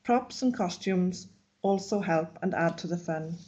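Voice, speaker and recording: A woman teacher reading out a webinar presentation in a measured, instructional way. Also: lowish voice, warm tone. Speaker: Welsh language teacher. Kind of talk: read-aloud webinar presentation.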